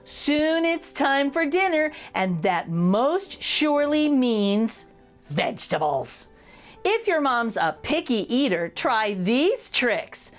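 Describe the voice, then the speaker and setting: A high-voiced woman reads a children's picture book aloud with very lively, swooping intonation. She is playful and speaks straight to her young listeners, taking her time, with music playing underneath. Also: slow pace. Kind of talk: expressive children's read-aloud.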